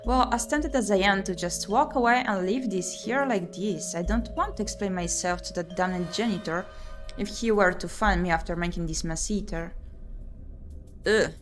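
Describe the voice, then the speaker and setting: A woman streams a visual novel, reading its narration aloud and commenting as she goes. Her voice sits at a middling pitch and her delivery is expressive, given to exclaiming and muttering to herself. Music is clearly audible behind her. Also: normal speed.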